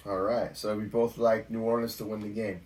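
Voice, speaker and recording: A man co-hosting a sports podcast, going over game matchups in a relaxed chatty way with lots of fillers. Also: fairly low pitch, fairly quick, distant mic, slight background noise. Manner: relaxed, talks through stats with fillers. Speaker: podcast co-host. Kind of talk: casual sports podcast chat.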